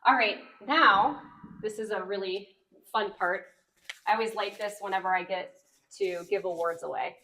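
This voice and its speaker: A woman's voice: medium pitch, normal speed, bright, forceful tone, a little hiss or hum. Woman presenting an award, slightly off-mic. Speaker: award presenter at a club banquet. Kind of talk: informal speech presenting an award. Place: banquet event, speaking to a group. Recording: live event sound, distant from mic.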